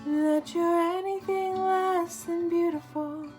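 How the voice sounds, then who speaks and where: A woman singing a gentle folk song for worship, accompanied by guitar. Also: very high-pitched, fairly even intonation, slow. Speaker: worship singer. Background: plucked guitar.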